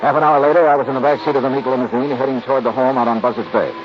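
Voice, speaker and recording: An American actor playing a wry, unflappable insurance investigator in a 1950s radio detective drama, clipped and casual. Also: male voice, mid-range voice, fairly even intonation, racing, natural voice. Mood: crisp narration. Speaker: lead actor and narrator. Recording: muffled, noisy 1950s radio recording.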